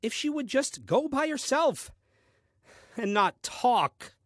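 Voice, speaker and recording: An actor playing a grumbling, solitary first man reading out his diary in a comic audio play, with a dry, deliberate manner. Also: extremely high pitch, sing-song, no room sound, full character voice. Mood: exasperated, dry.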